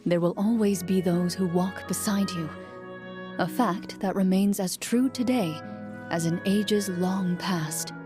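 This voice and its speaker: An actress playing a composed, gently wry female duelist in a fantasy video game, speaking in a measured, poised way. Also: fairly low pitch, over music, lightly acted. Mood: solemn narrating. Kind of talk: video game acting, storytelling.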